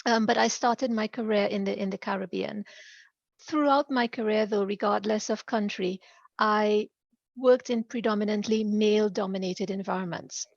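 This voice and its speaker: Podcast guest: middle-aged Trinidadian woman, gravelly, measured, laughs often. Dry, dull call audio. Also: medium pitch, fairly even intonation, no room sound. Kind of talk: podcast interview.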